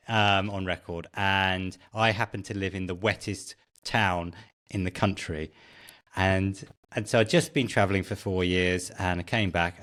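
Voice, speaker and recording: A middle-aged British man speaks as an interview guest. His voice is fairly low, and he talks in a casual, anecdotal way. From Britain. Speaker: podcast guest, tech founder.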